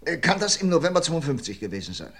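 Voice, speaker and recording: An actor playing a methodical police inspector with dry wit, in 1950s radio crime drama style, measured and reading out case files. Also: male voice, fairly high pitch, very animated, creaky, fairly bright tone, exaggerated voice. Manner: measured, reads out files, wry asides.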